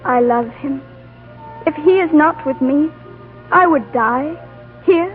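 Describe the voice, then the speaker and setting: A woman's voice: high voice, slow, faint music behind. An actress playing a fragile, devoted young woman in love in a 1940s radio drama. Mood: plaintive, devoted. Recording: tinny 1940s radio broadcast.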